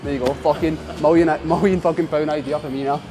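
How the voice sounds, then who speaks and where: A young man with a slightly raised pitch, talking in blunt, jokey banter in a big indoor gym. Music plays clearly under his voice as he chats between sets. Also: steady tone, quick pace. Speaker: fitness vlogger. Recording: music playing under the talk.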